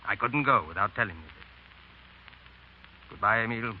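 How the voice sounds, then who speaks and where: An actor playing a frank, principled middle-aged French painter, speaking with earnest reproach in the manner of 1930s radio drama. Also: male voice, fairly low pitch, animated. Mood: earnest, regretful. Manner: earnest, reproachful, deliberate phrasing. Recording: tinny, narrow 1930s radio broadcast.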